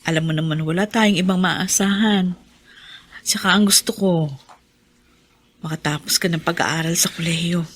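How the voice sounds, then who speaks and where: A woman's voice: fairly low pitch, steady tone, moderate pace, long pauses, lightly acted. An actress as a weary, tender Filipino mother. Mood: weary, reassuring. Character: hardworking, self-sacrificing seamstress mother, weary but tender. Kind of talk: Filipino radio drama acting.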